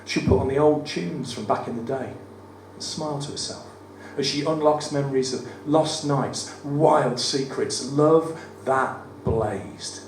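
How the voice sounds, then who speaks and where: Croaky west midlands bloke doing poems live, echoey room, mic a bit far off. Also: male voice, mid-range voice, unhurried, hardly any pauses. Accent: West Midlands English. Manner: wry, self-deprecating banter between poems. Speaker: performance poet. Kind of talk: live poetry reading. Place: studio theatre at a live cabaret event. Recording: roomy live venue, mic from afar, audible room noise. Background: audience ambience.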